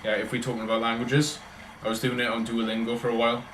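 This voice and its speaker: A man's voice: mid-range voice, monotone, fast, a bit bright, reverberant, slight background noise, rough recording. A man with a Welsh accent, chatting casually and rambling on a livestream as he answers his viewers. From Wales. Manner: rambling, chatty, talks in loose run-on thoughts.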